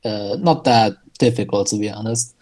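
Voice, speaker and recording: A man from Romania whose first language is Hungarian, chatting in English on a casual podcast, hesitant with many pauses and restarts. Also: fairly low pitch, darkish tone. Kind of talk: casual chat.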